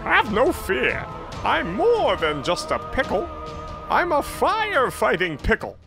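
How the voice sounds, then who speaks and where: A man's voice: extremely high pitch, wildly swooping pitch, measured pace, vocal fry, exaggerated voice. A man voicing a grandiose firefighting pickle in an absurd improvised puppet comedy. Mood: grandly heroic. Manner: grandiose, exaggerated. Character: heroic male firefighting pickle, bold then squealing. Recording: loud music behind.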